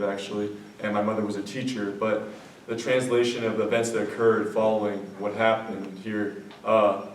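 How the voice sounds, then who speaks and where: A man in his late teens from Croatia, telling his personal story to an audience in an informal, halting, youthful way. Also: on the low side. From Croatia, moved to Vermont as a child. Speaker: student speaker. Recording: echoey room, distant mic, faint noise.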